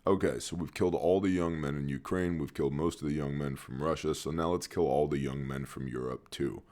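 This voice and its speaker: A man with a strikingly low, deep voice hosts a news briefing. He reads each item plainly, then drops in dry, sarcastic remarks of his own. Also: steady tone, fairly quick, a little mellow. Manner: dry sarcastic asides between read news items. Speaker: news commentary host.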